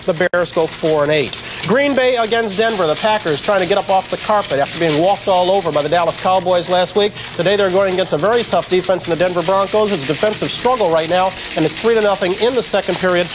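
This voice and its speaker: A man anchors a football roundup from a studio desk, moving briskly through results in a scripted broadcast manner. His voice sits high for a man. The old broadcast tape carries a clear layer of noise. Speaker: studio sports host. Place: TV studio, in front of a scoreboard wall.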